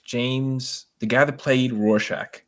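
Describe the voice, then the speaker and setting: A man's voice: mid-range voice, fairly even intonation, slow pace, a bit bright. A man hosting a casual podcast discussion, feeling his way through questions and searching for words. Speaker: podcast host steering discussion.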